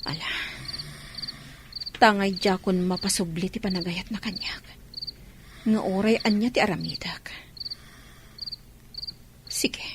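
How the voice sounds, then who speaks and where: A woman's voice: fairly low pitch, noisy background. A woman playing a hurt but determined neglected wife in an Ilocano audio drama, with an Ilocano Filipino accent.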